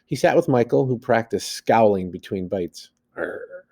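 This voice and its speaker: A man's voice: medium pitch, moderate pace, vocal fry. A man reading a story aloud like a teacher, expressively, acting out the dialogue and adding plain asides. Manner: animated, acts out the dialogue.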